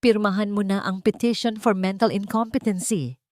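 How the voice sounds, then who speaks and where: A woman's voice: medium pitch, fairly even intonation, normal speed, a little mellow, no room sound, studio quality, voice pushed up, lightly acted. A woman voicing a ruthless villainess who gives imperious, insistent orders. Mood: angry, scheming. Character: ruthless, commanding villainess barking orders at her lawyer.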